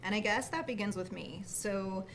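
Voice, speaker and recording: A woman guest and keynote speaker telling her story in an earnest, measured way. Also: mid-range voice, a bit bright, a little hiss or hum. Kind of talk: interview answer.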